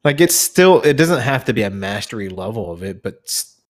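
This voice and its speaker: A man chatting casually, with a halting, informal delivery. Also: fairly low pitch, big pitch swings. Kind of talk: casual chat.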